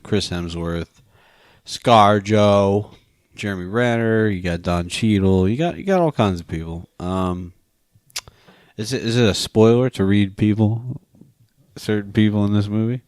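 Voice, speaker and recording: A man's voice: fairly low pitch, a little slow, darkish tone, clean tone, no room sound, clean studio sound. A man hosting a casual movie review podcast, talking in a teasing, profane way. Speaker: podcast host.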